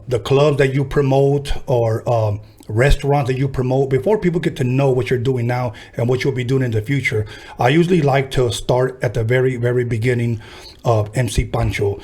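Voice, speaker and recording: A man in his fifties from the Los Angeles harbor area hosts a casual podcast interview. His voice sits at a middle pitch, and he talks in a laid-back, joking way. He sounds like a storyteller among friends. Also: normal speed, a little mellow. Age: 50s. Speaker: podcast host.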